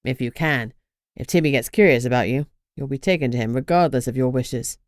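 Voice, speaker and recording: Woman doing a gruff male vampire, stern, very low and deep, dry close sound, storybook reading. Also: brisk, dry recording.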